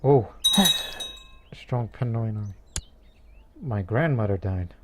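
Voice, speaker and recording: A man's voice: fairly low pitch, slow, phone-quality sound, noisy background. Man musing through a strategy game. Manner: musing, laughing asides, talks as his character. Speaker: game let's player. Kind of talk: casual gameplay commentary.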